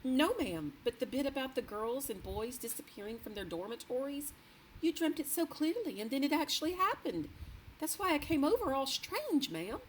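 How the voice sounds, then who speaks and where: A flustered middle-aged maid, put on by a woman reading aloud, high and anxious, every sentence ending in a nervous ma'am. Her voice bounces up and down. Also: sing-song, normal speed, slight background noise, poor audio. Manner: deferential, flustered, keeps saying ma'am.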